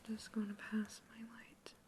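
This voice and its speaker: A woman voicing a curious female alien scientist who is examining a captive human. She whispers softly throughout the ASMR roleplay and asks clinical, matter-of-fact questions. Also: a little high, completely flat delivery, moderate pace, a little hiss or hum, close to an everyday voice. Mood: calm curious. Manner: soft unvoiced whispering throughout.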